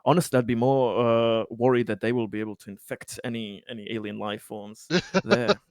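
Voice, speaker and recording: A man's voice: mid-range voice, flat delivery, fairly quick, no echo, clean studio sound. A man playing a tabletop roleplaying game, improvising conversation in a hesitant, dryly humorous way with repeated words.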